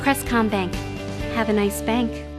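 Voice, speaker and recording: A woman announcer reads advertising copy in a fairly high voice. A music bed is clearly audible beneath the scripted delivery. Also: steady tone, a little slow. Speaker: commercial announcer. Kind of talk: scripted bank ad read.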